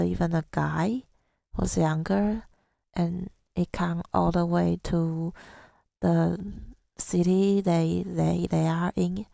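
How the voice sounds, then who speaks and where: Very slow, creaky, soft-spoken ASMR woman. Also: fairly low pitch, no echo. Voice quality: soft, breathy, often near-whispered. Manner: hesitant, halting, reflective delivery. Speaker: ASMR creator. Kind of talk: ASMR personal storytelling.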